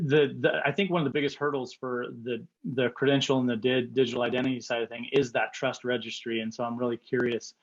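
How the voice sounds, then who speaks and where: Flat-voiced man on a muffled call. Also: mid-range voice. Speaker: event participant. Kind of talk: quick feedback on a video call. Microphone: video call. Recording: dull lo-fi call audio.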